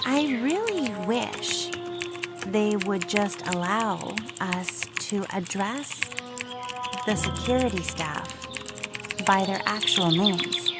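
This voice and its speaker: Slow, deliberate official over music. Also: female voice, medium pitch, animated. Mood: weary, deliberate. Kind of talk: comedic audio drama monologue.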